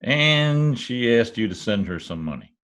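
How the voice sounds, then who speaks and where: A man playing a wisecracking private detective in an old-time radio play, with dry, deadpan quips. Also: mid-range voice, a little slow. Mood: wry. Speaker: actor in an amateur radio-play reenactment. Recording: remote home recording over video call.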